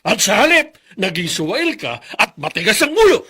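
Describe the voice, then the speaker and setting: Husky, high-pitched domineering Filipino father. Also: sing-song, normal speed, exaggerated voice. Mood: angry scolding. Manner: scolding, forceful, declamatory. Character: stern, domineering older father, bitter and threatening. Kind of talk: melodramatic Filipino radio drama acting.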